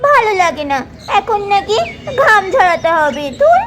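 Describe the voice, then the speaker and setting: A woman's voice: very high voice, big pitch swings, unhurried, noisy background, heavily acted. A woman voicing a grumbling, sly village wife, exclaiming and complaining in a children's doll cartoon. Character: grumbling, work-shy village wife and mother, slyly dodging exercise. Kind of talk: acted children's doll-play cartoon dialogue.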